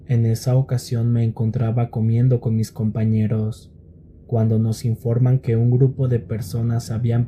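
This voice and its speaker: A man reading scripted scary stories as a narrator, in a measured and deliberate storytelling manner. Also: mid-range voice, warm tone, a little hiss or hum.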